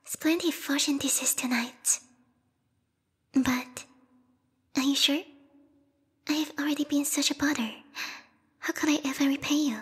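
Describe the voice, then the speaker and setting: A woman voicing a lethal yandere vampire bat girl, a queen's knight with formal, theatrical speech, in a breathy whispered ASMR roleplay. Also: high voice, fairly even intonation, unhurried, pauses often. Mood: grateful, hopeful. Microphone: close binaural microphone. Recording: reverberant hall-like echo.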